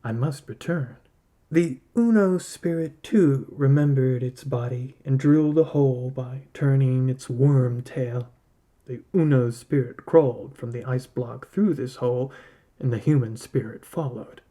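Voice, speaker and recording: Slow, husky man reading a story. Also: medium pitch, warm tone. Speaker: podcast host reading a short story. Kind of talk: literary story narration.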